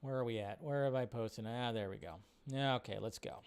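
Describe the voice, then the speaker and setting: A man's voice: medium pitch, moderate pace, background noise. A man hosting a livestream in a casual, off-the-cuff way, rambling and repeating phrases as he chats with viewers. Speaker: livestream host. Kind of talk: casual live chat, reading and answering viewer questions.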